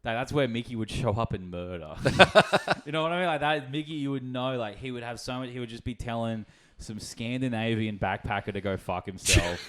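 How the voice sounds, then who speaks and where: A man's voice: mid-range voice, brisk, fairly bright tone. A man hosting a podcast in casual chat, rambling through stories and laughing as he talks. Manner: rambling storyteller, laughs while talking. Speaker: podcast host.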